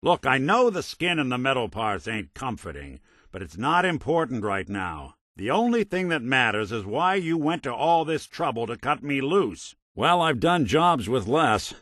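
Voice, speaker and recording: An American actor playing a world-weary, gruff but decent synthetic private detective in a noir game, with a dry, sardonic manner. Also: male voice, mid-range voice, very animated, moderate pace, fairly bright tone, no echo. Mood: urgent, reassuring, then wry. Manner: dry, sardonic, old-time gumshoe slang. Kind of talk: noir hard-boiled detective game dialogue.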